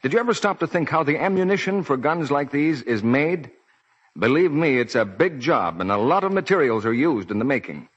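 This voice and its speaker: A man's voice: a little high, animated, brisk, slightly rough. An American man announcing on 1940s radio, giving polished, explanatory sponsor messages. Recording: dull 1940s radio broadcast.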